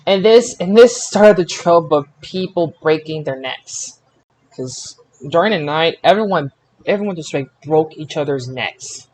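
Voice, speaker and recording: A man hosting a casual podcast results recap, haltingly, often repeating words as he recalls details. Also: a little high, animated, darkish tone, slight background noise, low-quality recording. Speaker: podcast host.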